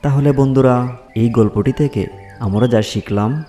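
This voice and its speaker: A man's voice: medium pitch, measured pace, mellow, faint music behind. A man narrating a scripted fairy tale for children as a storyteller.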